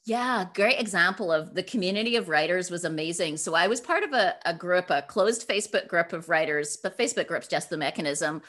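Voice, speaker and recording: A woman's voice: lowish voice, lively intonation, fairly quick, few pauses, a bit bright. Woman author talking emphatically in an interview. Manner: emphatic, informal, acts out imagined dialogue. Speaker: guest author and podcaster. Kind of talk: animated conversational interview answers.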